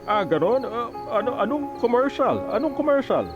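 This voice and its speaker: An actor playing a gentle, bumbling middle-aged father with a Filipino accent, stammering through a comedic radio drama. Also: very high-pitched, very animated, normal speed, phone-quality sound. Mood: hesitant concern. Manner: hesitant, stammering. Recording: music behind the voice.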